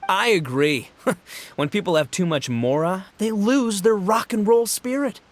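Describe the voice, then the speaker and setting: A man's voice: sits high, measured pace. A man voicing a brash, boastful young gang boss and rocker in a video game, with cocky, boisterous, slangy delivery. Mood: excited, amused. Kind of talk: animated video game voice acting.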